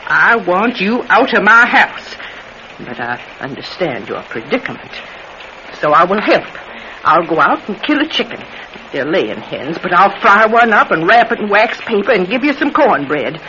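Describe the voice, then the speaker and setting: High-voiced, animated old circus man, lo-fi. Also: normal speed, heavily acted. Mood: firm, uneasy. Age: older. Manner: wistful, folksy, rambling storytelling. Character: elderly retired circus performer, nostalgic, wary, talkative old man. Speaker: radio drama actor. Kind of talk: classic radio drama acting. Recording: dull, noisy vintage radio recording.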